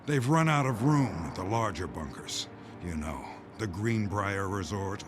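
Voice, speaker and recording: A man playing a grim doomsday insider in an audio drama, speaking in measured, weighty phrases. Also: lowish voice, background noise, lightly acted. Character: grim male insider revealing a doomsday conspiracy. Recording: music bed under the voice.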